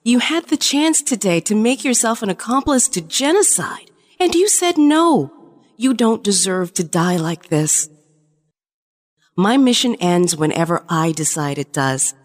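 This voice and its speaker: A woman's voice: mid-range voice, big pitch swings, measured pace. A woman playing a shapeshifting alien spy in an audio drama, a conflicted lover pleading her case earnestly. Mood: tender, pleading. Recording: long reverberant hall sound.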